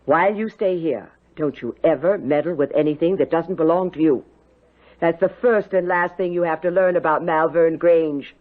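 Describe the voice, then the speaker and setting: An actress playing a stern, forbidding aunt in a 1970s radio drama, curt, scolding and commanding. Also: female voice, low voice, moderate pace, lightly acted. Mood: sharp scolding. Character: stern, forbidding aunt running a grand old household. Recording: dull lo-fi radio recording.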